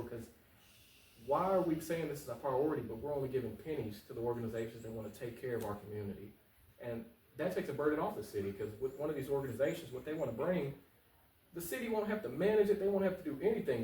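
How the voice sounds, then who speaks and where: Fast-talking American man in a roomy, distant recording. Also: on the high side, darkish tone. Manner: earnest, informal, repeats phrases for emphasis. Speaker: political candidate, talk show guest. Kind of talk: conversational interview answers. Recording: roomy echo, distant mic, faint noise.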